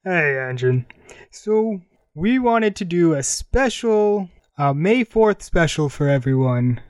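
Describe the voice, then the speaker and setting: Male radio host, higher-pitched voice, dry close sound, casual chat introducing songs. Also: big pitch swings, slow, a little mellow, clean tone, dry recording. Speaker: host of an anime radio show.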